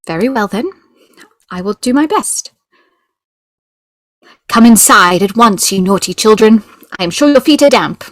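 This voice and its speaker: A woman's voice: medium pitch, animated, a little slow, long pauses, no room sound, clean studio sound, lightly acted. A woman playing a prim, sweet, motherly little girl in an audio play.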